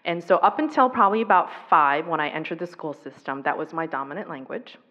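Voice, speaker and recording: Woman keynote speaker, raised on the US East Coast with Taiwanese as her first language; earnest and reflective, fairly low voice, dull hall recording. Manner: reflective, earnest, with pauses and fillers. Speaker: speaker on violence prevention. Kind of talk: personal keynote talk.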